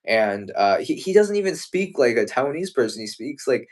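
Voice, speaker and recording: A man's voice: medium pitch, sing-song, normal speed. A young man from the United States, a martial arts competitor chatting casually and earnestly, often restarting his sentences. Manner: earnest, thoughtful, with frequent restarts. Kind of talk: casual live-stream chat.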